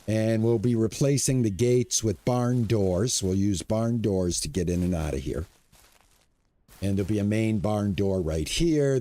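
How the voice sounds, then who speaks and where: A man's voice: lowish voice, dark tone, no echo. Chatty older man streaming a game. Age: 60s. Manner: chatty, upbeat, talks to chat. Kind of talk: casual live-stream commentary.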